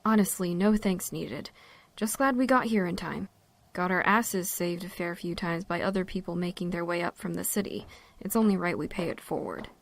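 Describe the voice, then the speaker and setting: A woman's voice: fairly low pitch, normal speed, creaky. Woman playing a wry, blunt park ranger. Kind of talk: audio drama acting.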